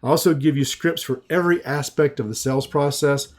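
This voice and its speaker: Southern US man, sales coach type, mellow unhurried webinar pitch with a folksy feel. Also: fairly even intonation. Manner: slow, folksy, conversational with restarts.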